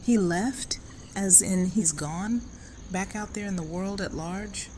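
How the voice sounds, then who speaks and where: A woman's voice: medium pitch, slow pace, mellow, a little hiss or hum, lightly acted. A woman playing a stern, guarded and unyielding security chief in an audio drama. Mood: alarmed. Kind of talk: full-cast audio drama acting.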